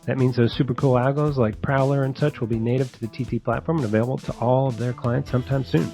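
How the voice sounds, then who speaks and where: A male podcast host speaks in a casual, conversational way at a medium pitch. He is on a close microphone, with some music in the recording. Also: fairly even intonation, quick pace, vocal fry, dark tone. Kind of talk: casual podcast interview and intro.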